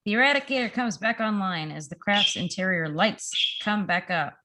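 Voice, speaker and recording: A woman's voice: medium pitch, expressive, moderate pace. A woman reading scene descriptions from a script at a table read, over a noisy recording. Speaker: reader of stage directions.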